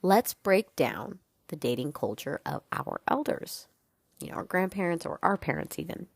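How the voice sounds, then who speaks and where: Low-voiced congested young woman podcasting. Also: big pitch swings, moderate pace, gravelly, slightly rough, no echo, clean studio sound. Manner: chatty, frank, swears casually, many fillers. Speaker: podcast host. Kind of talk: casual solo podcast talk.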